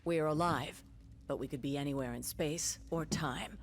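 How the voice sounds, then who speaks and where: An actress playing a stern, no-nonsense space warrior heroine with a clipped, scolding manner in a video game. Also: female voice, deep voice, moderate pace, a little hiss or hum. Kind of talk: video game character acting.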